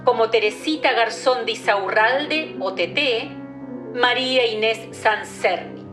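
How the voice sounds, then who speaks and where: A woman presenter giving a formal, deliberate scripted announcement of a play's credits. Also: fairly high pitch. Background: music.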